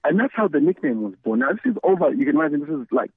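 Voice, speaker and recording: A man's voice: on the high side, big pitch swings, racing, husky, dry recording. A middle-aged man from Zambia, telling his story conversationally as an interview guest over a remote call. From Zambia, the Copperbelt. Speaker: guest, radio DJ and station founder. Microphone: remote call connection. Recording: thin phone-like line.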